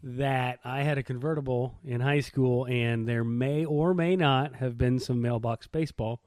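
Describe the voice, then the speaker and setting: A man's voice: mid-range voice, steady tone, measured pace, darkish tone, no echo, studio quality. A middle-aged man chatting casually and telling anecdotes with wry, irreverent humor.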